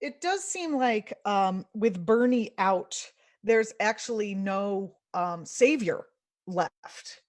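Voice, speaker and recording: A young woman hosting a live-streamed interview over a video call from home, casual and wry, quick to laugh. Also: medium pitch. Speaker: host.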